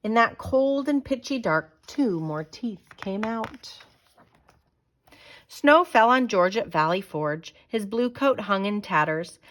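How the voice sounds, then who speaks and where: Woman, picture book narrator, slow pace, expressive and deliberate storytelling. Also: mid-range voice. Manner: dramatic, deliberate storytelling delivery. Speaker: storyteller reading aloud. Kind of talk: expressive children's picture book read-aloud.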